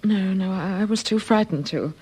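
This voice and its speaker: An actress playing a frightened, evasive witness in an old-fashioned radio drama, speaking hesitantly and defensively. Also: female voice, medium pitch, moderate pace, mellow, slightly rough, natural voice. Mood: nervous, evasive. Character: frightened, evasive woman witness questioned by police.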